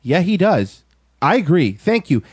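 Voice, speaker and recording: A man's voice: on the high side, big pitch swings, a little slow, a little mellow, husky, no echo. A man co-hosting a podcast, talking in casual, teasing banter that easily turns exasperated. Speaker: podcast co-host.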